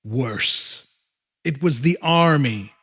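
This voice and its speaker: A man's voice: mid-range voice, very slow, fairly bright tone, breathy edge. A man narrating a fiction audiobook in a dramatic, scripted storytelling style.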